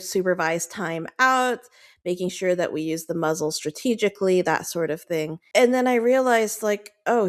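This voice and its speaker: A woman chatting casually as a podcast guest, rambling and affectionate, with many fillers. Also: mid-range voice. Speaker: podcast guest, dog owner. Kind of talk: casual chat.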